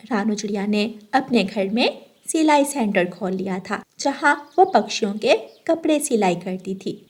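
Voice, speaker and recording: A woman's voice: medium pitch, darkish tone. A woman narrator reading a scripted children's cartoon story.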